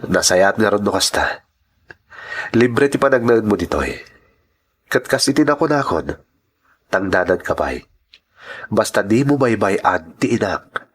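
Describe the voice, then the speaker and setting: A man's voice: lowish voice, expressive, measured pace, pauses often, breathy edge. A man playing a persuasive, reassuring priest in a radio drama, with an Ilocano accent. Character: reassuring, persuasive male priest, devoted son.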